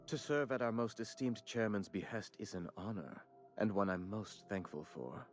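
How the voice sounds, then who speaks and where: A man's voice: lowish voice, moderate pace, faint music behind, natural voice. A man playing an officious corporate bureaucrat in a video game, formal and obsequious. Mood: officious, deferential.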